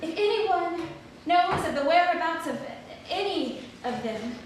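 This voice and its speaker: Slow, high-voiced actress as solemn daughter. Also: female voice, animated. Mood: solemn, deliberate. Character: solemn daughter searching for her father's scattered relatives. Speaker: stage reader. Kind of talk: dramatic reading of historical letters. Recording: very echoey hall, distant, faint noise.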